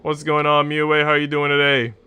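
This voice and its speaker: A man's voice: a little high, monotone, a little fast, bright, forceful tone, slight background noise. A man hosting a game livestream, moving between casual, jokey rambling and reading story narration aloud. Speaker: game streamer, host.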